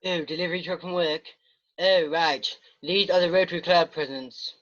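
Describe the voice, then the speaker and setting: A woman reading the part of a pompous small-town male mayor in an amateur online play reading, speaking in a lowered, grand voice. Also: steady tone, normal speed, highs cut off, lightly acted.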